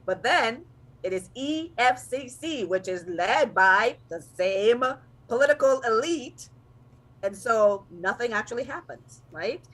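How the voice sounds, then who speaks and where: A woman hosting a talk show, measured and steady as she works through points. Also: on the high side. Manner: steady, measured, leads the agenda. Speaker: host.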